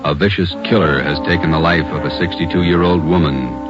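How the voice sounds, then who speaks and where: A man giving solemn scripted narration for vintage radio, deliberate and authoritative. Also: a bass voice, over loud music. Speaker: announcer and narrator. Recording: muffled old radio recording.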